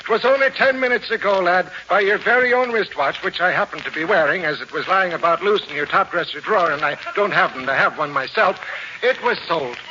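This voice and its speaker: High-voiced wily Irish uncle played by a man. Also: brisk, close to an everyday voice. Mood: sly, apologetic. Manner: florid, long-winded, full of mock piety. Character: wily, blarney-spouting Irish uncle, a genial chiseler. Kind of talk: 1940s radio comedy acting. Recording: muffled, noisy vintage radio recording.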